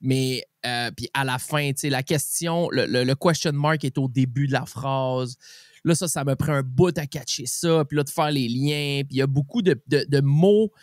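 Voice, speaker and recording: A man with a Québécois French accent hosting a casual chat, speaking in informal slang with halting, repeated starts. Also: medium pitch, no echo, clean studio sound. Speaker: podcast host.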